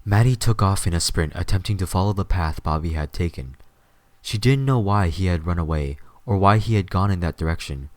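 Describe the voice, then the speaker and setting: A man's voice: low voice, a little fast, a little mellow, no room sound. A man reading scripted story narration as the narrator of an audio drama.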